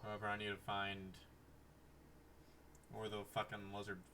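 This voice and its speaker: Gravelly, low-voiced man streaming a game. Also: monotone, normal speed, heavy background noise. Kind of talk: casual gameplay commentary. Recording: distant voice under loud game music.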